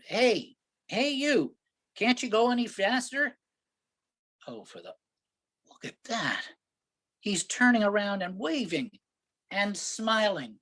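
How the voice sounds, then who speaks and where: A woman's voice: mid-range voice, a bit bright, exaggerated voice. A woman playing a grumpy, sarcastic city driver from Brooklyn in a comic play reading, complaining in exasperation.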